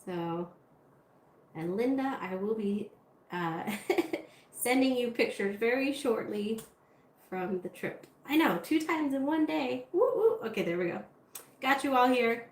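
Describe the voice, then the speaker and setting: A woman's voice: a little high, lively intonation, measured pace. A woman hosting a casual art livestream, chatting with viewers as she works, her voice a little congested. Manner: chatty, talks to viewers while working. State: slightly congested. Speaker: art channel host. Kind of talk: casual livestream chat while crafting. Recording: roomy with faint room noise.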